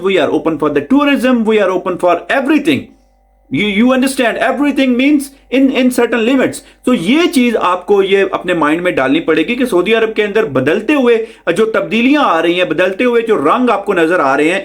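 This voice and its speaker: A man hosting a news explainer vlog, talking conversationally to the listener and repeating phrases for emphasis. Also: sits high. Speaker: video host.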